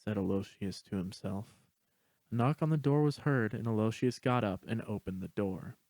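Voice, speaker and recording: A man's voice: lowish voice, moderate pace, a little mellow, dry recording. A man reading scripted story narration as the narrator.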